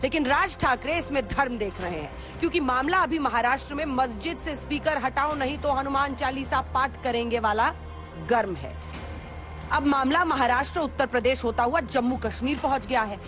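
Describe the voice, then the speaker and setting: High-pitched woman narrating TV news. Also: moderate pace. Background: background music.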